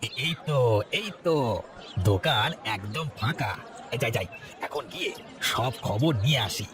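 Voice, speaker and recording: A man's voice: medium pitch, animated, moderate pace, over music, lightly acted. A man voicing a sly, scheming village thief in a cartoon, theatrical and wheedling. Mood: furtive. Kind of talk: cartoon voice acting. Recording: clear ambient noise behind voice.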